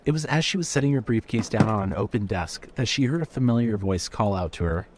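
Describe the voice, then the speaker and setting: A man's voice: fairly low pitch, expressive, quick pace, noisy background. A man giving scripted narration of a fiction story.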